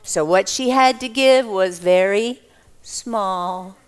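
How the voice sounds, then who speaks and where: A woman's voice: medium pitch, slow pace, clear, resonant tone. A woman telling Bible stories live to children in a theatrical way, with repetition and questions. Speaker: children's storyteller at church Bible school.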